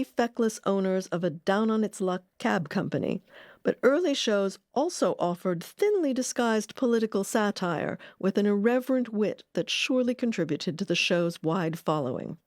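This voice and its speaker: A woman giving measured, articulate scripted narration as a documentary narrator. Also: medium pitch, a little mellow, no echo, clean studio sound.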